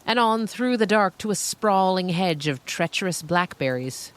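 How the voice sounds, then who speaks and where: A woman with a low voice narrates scripted fiction. Her phrasing is measured and dramatic, with deliberate pauses as she paints each scene. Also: animated. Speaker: storyteller, podcast narrator.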